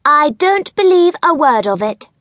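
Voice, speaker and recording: A haughty little girl, acted by a woman for a children's storybook reading. Her voice is high and swoops about as she dismisses things with dainty, emphatic scorn. The sound is dry and close. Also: sing-song, a little slow, a bit bright, no echo, lo-fi sound, exaggerated voice. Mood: scornful. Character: snooty, dainty young girl, scornful sceptic. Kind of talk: children's audiobook character voice.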